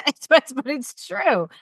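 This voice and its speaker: A woman with a Northern US accent hosts a casual podcast, keeping up a steady flow of agreement and a loose, salty way of speaking. Her voice sits at a middling pitch, and the sound is dry and close with no room in it. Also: creaky, no echo, low-quality recording. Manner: affirming, quick to laugh, salty language. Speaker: podcast host.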